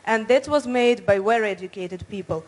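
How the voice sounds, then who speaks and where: A woman speaking up from the audience at a conference. Her voice sits at a middle pitch, and her phrasing is earnest and a little halting, with turns of phrase. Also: a little mellow. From Ukraine. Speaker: audience member. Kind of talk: conference floor comment.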